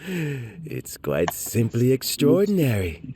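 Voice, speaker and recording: A man voicing an appreciative food judge in a video game, deliberate, expressive and savouring. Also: medium pitch, slight background noise, exaggerated voice. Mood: impressed. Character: appreciative male food judge, measured and relishing. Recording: game audio over loud music.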